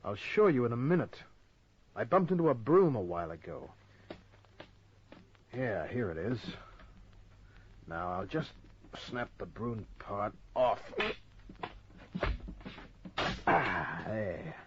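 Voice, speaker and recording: An actor playing a cool, wisecracking private detective, narrating and talking in old-time radio crime drama style with dry sardonic delivery. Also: male voice, on the low side, big pitch swings, slow pace, long pauses. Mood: calm, busy. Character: wisecracking tough male private detective, cool under threat. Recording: dull, noisy old radio recording.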